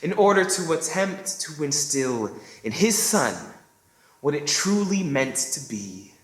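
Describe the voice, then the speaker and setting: A man narrating his own story in a slow, deliberate and solemn spoken-word monologue. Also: fairly high pitch, big pitch swings. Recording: roomy echoing space.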